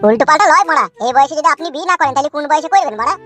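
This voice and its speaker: A cheeky, foul-mouthed cartoon villager with a rural West Bengal accent. The voice is high and very fast, argumentative and brash, over background music. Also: lively intonation, fairly bright tone. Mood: teasing. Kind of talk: comic cartoon dialogue.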